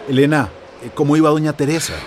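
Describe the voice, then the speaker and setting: A man's voice: a little high, little pitch movement, fast talker, background noise, natural voice. An actor with a Latin American accent playing a fatherly, middle-aged police chief in a radio drama, reassuring and authoritative. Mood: troubled. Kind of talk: Spanish-language radio drama acting.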